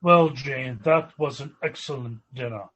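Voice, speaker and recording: A man's voice: medium pitch, a little slow, fairly bright tone. A man playing a polite, stuffy, well-to-do young businessman suitor in a 1940s-style radio comedy. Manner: genteel, hesitant.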